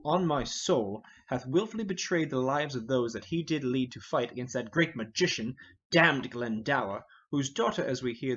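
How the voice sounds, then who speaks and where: A man's voice: medium pitch, husky, dull audio. A man reading the part of a stern, suspicious king in Shakespearean verse, commanding, accusatory and declamatory.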